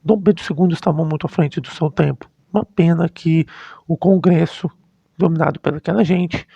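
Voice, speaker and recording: A Brazilian man giving casual, unscripted commentary as a history vlogger, halting and often rephrasing himself mid-sentence. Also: fairly high pitch, gravelly, mellow, breathy edge. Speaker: history YouTuber. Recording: dull, lo-fi, roomy sound.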